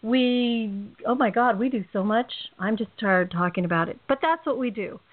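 A woman's voice: on the high side, moderate pace. A woman with a California accent hosting a casual radio show, chatty and rambling, laughing often. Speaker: host. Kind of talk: casual podcast chat. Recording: tinny phone-line audio.

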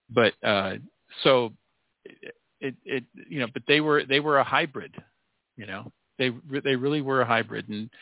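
Man in his sixties, interview guest, halting and rambling delivery, tinny phone line. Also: medium pitch, no room sound. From United States. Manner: rambling, many restarts and fillers. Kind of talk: casual interview chat.

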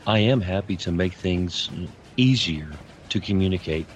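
An actor playing a courteous, curious man of mystery in a radio drama, genial and gently probing. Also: deep voice, normal speed, slight background noise, lightly acted. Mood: obliging, knowing. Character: courteous, curious, knowing male mystery traveller.

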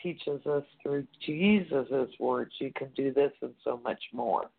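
Gravelly-voiced caller rambling on a phone line. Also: mid-range voice, a little slow. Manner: rambling, many fillers and restarts. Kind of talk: phone-in conversation.

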